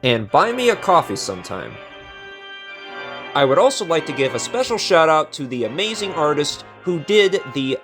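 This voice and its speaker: A man's voice: a little high, sing-song, moderate pace, fairly bright tone. A man hosting a show, giving casual review commentary to his viewers. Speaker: host and reviewer. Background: music.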